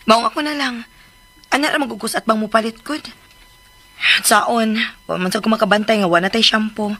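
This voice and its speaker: Casting: insistent, practical Filipino mom, radio drama; bright and somewhat high. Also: female voice, moderate pace. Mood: insistent, everyday. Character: practical, insistent young mother at home. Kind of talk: natural radio drama acting. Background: background music.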